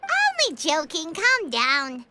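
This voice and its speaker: Little game fairy, squeaky-high, childlike, chirpy, lively pitch swings. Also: female voice, slow, very noisy, exaggerated voice. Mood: explaining, playful. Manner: refers to self by name, chirpy. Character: tiny childlike floating fairy companion, chirpy and earnest. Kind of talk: video game voice acting. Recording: music behind the voice. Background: prominent background music.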